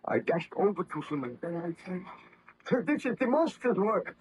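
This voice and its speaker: A man's voice: high voice, very animated, normal speed, slight background noise. An actor playing an anguished, guilt-ridden nobleman scientist in an old-time radio horror drama, speaking in formal, fervent melodrama. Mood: anguished, urgent. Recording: vintage radio drama recording, slightly muffled.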